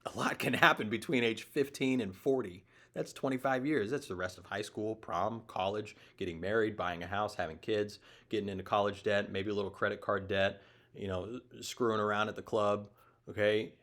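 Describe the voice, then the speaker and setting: A man in his thirties with a somewhat low voice talks off the cuff as the host of his own show. He digresses often and leans hard on his points. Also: steady tone, moderate pace, fairly bright tone. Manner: rambling, digressive, emphatic. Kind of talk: casual opinion monologue.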